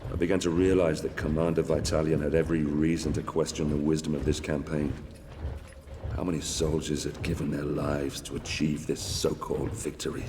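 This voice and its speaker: A man's voice: a bass voice, level tone, a little fast. An actor playing a grave, war-weary Roman centurion, somberly narrating his campaign in a video game. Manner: somber, reflective. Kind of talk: scripted game story narration. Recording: long reverb, faint noise.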